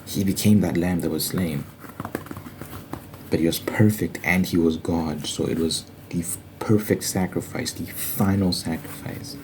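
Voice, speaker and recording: A man's voice: low voice, steady tone, a little slow, a little mellow, slight background noise, poor audio. A man giving an informal, earnest Bible study monologue in an ASMR style, repeating phrases for emphasis.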